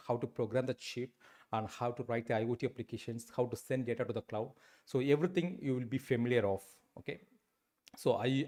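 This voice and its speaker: A middle-pitched man in his forties speaks with an Indian English accent as he teaches a live online session. He talks into a close desk mic and stumbles often, with many fillers and restarts. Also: a little mellow. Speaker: instructor. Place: indoor room, webcam.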